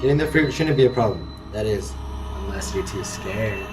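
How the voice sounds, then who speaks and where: A man's voice: fairly low pitch, lively intonation, moderate pace, soft background music, close to an everyday voice, poor audio. A man playing a goading, teasing boy among friends in an amateur audio drama.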